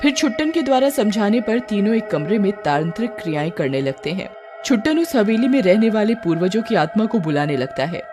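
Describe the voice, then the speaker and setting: A woman's voice: mid-range voice, expressive, brisk. A woman narrating a scripted horror cartoon story. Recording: music behind the voice.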